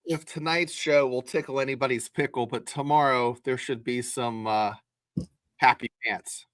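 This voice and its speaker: A man's voice: medium pitch, fairly even intonation, moderate pace, a bit bright, no echo. A man co-hosting a sports podcast, explaining his takes in a folksy, casual way. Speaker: podcast co-host.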